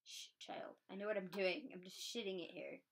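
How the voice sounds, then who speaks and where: A woman's voice: medium pitch, steady tone, a little fast, gravelly, a little hiss or hum. A woman of about twenty chatting while dyeing eggs, dry and a bit bossy, swearing casually, on a camera mic in a small bedroom. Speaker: co-host.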